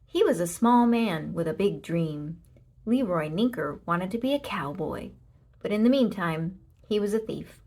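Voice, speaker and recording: A woman reads a children's story aloud at an unhurried pace. Her pitch moves up and down a great deal, and her voice has a husky, breathy grain. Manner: expressive, deliberate storytelling with emphatic short sentences. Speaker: storybook reader. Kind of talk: children's read-aloud narration.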